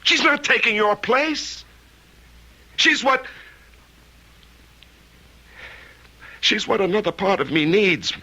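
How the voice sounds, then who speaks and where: A man's voice: high voice, sing-song, unhurried, bright, forceful tone, full character voice. An actor playing an agitated, insistent grown son in an old-time radio drama, stammering as he pleads and pushes. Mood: insistent, heated. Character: agitated, insistent grown son clinging to his dead wife.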